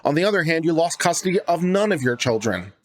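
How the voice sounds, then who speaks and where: Sardonic man with a higher-pitched voice. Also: sing-song, fast talker, no echo. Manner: sardonic, with dry rhetorical questions. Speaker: host of a legal commentary channel. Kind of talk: reading a document aloud with casual commentary. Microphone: close studio microphone.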